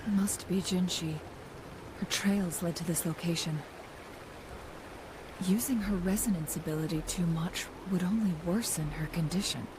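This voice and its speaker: A woman voicing a composed, knowledgeable young guide in a fantasy video game, speaking in a measured, formal, thoughtful way. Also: on the low side, noisy background, lightly acted. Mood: calm, resolute. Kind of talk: scripted video game voice acting.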